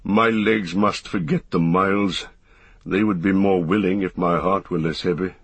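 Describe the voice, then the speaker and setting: Male narrator as dwarf warrior, gruff, blunt, weary, grumbling, heavy-hearted, fantasy audiobook. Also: deep voice, fairly even intonation, normal speed, no echo. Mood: weary resolve.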